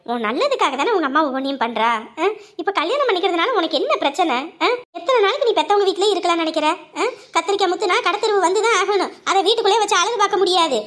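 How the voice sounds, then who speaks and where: A woman voicing a calm older family elder who talks softly and coaxes with proverbs in a family comedy skit. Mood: coaxing. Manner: low, gentle, coaxing with proverbs.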